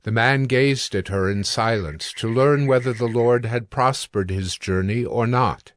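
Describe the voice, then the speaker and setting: A man narrating scripture from a script in a measured, formal manner. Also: mid-range voice, dry recording, studio quality.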